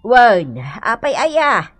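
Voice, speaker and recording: Woman acting gossipy neighbour, Ilocano accent, rather high voice, animated intonation, matter-of-fact radio drama delivery. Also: very slow, no room sound, faint music behind, exaggerated voice. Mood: gossipy, measured. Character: gossipy neighbour woman reporting on a husband's whereabouts.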